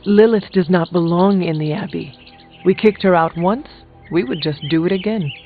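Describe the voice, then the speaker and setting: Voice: medium pitch, moderate pace, narrow, tinny audio, natural voice. An actor playing a resolute, stoic young warrior in a video game, speaking in a formal, blunt, earnest way. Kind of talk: video game character dialogue. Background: music.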